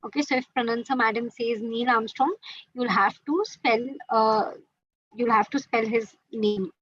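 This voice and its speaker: Husky Indian woman explaining on a call. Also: fairly high pitch, steady tone, a little slow, no echo, lo-fi sound. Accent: Indian English. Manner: mixes Hindi into English, frequent fillers. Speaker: quiz organizer teaching students. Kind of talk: online webinar explanation. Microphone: video call.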